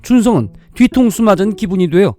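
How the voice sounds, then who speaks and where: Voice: medium pitch, expressive, a little fast, dark tone. A storyteller reading a dramatic science-fiction tale in a scripted narrating style. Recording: music under the voice. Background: background music.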